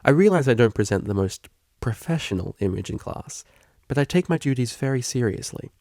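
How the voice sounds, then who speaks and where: A man's voice: medium pitch, expressive, a little fast, dark tone, no echo, clean studio sound, lightly acted. A man voicing a breezy, earnest male pony tutor and counselor who talks casually and emphatically in a story.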